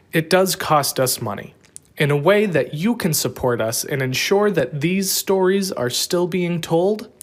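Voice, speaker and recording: A man, the show's writer and creator, making an earnest appeal for listener support. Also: fairly high pitch. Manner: earnest, unhurried. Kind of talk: sponsor appeal read.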